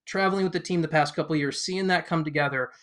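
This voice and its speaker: A man co-hosting a sports podcast, asking interview questions casually in rambling, halting phrases. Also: fairly high pitch, a bit bright. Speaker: podcast co-host.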